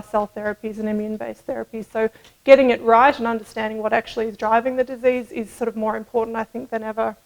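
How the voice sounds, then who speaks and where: A middle-aged woman giving a scientific conference talk at a podium microphone, explaining in a measured way with some hedging. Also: on the high side, dull audio. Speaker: medical researcher presenting at a conference. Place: indoor conference room, at a lectern.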